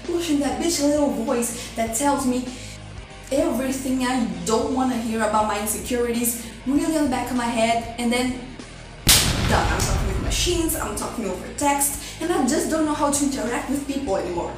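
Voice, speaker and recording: A young Brazilian woman with a Brazilian Portuguese accent chats to her camera in a candid, self-mocking way. Her voice sits a little high and comes from a step back from the mic. The room echoes heavily, and music plays clearly under her. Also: moderate pace, far from the mic. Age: young adult. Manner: self-deprecating, chatty, animated swings. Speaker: vlogger. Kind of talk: candid personal vlog monologue.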